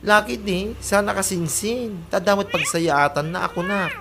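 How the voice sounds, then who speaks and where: A woman's voice: mid-range voice, moderate pace, close to an everyday voice. A woman playing a gossipy, mocking middle-aged villager in a comedy radio drama, with an Ilocano accent and chiding manner. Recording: clear noise behind voice.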